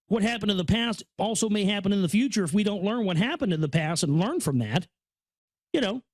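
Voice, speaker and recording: High-pitched man, rambling casual commentary. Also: dry recording, studio quality. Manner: rambling, stammering, repeats phrases; awed, earnest. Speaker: reader and commentator. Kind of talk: casual running commentary on a book reading.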